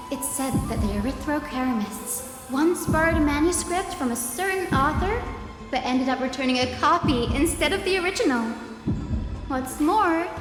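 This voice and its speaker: A woman playing a lively, teasing young scholar in a video game, chatty and theatrical. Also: sits high. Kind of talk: video game character dialogue. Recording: echoey reverberant hall, loud background music.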